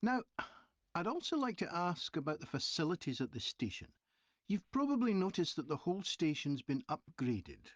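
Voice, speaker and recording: A man's voice: fairly high pitch, sing-song, moderate pace, dry recording. A man playing a courteous, methodical survey interviewer in a scripted dialogue. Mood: polite, businesslike. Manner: polite, methodical questioning. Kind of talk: scripted listening-test dialogue.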